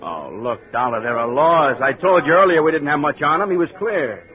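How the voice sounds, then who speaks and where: Male actor as an impatient cop, blustery lieutenant with lively swings, vintage radio drama, muffled narrow sound. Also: on the high side, fairly quick, lightly acted. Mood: exasperated. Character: exasperated gruff male police lieutenant. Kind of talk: 1950s radio drama acting. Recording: muffled old radio recording.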